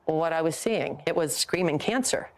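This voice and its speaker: Low-voiced American woman surgeon. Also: moderate pace. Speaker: breast surgeon. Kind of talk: interview account.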